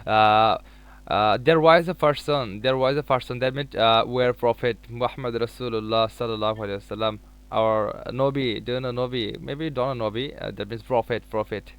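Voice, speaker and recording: A young man from Bangladesh chats over a video call in hesitant English. He fills his pauses with "uh" and restarts his sentences. His voice is smooth and clear, pitched in the middle. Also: steady tone. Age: 20s. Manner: halting, searching for words with many fillers. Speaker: English learner chatting with a stranger online. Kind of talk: casual video chat.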